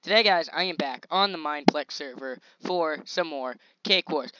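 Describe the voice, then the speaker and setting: A young man hosting his own gaming channel, commentating gameplay in a chatty, slangy, excitable way, his voice a little scratchy. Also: fairly high pitch, moderate pace, lo-fi sound. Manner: excitable, chatty, slangy hype while playing. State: slightly scratchy voice.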